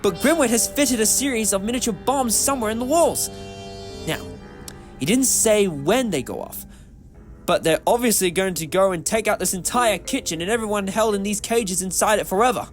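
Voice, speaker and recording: A man playing an eccentric, affectionate time-travelling adventurer with an excitable manner in a fan audio drama. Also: sits high, moderate pace, soft background music. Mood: urgent, brisk. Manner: animated, exclamatory.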